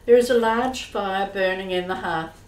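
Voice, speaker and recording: A woman's voice: medium pitch, normal speed. A woman reading scripted narration for a radio play. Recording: distant, roomy, noisy with clicks.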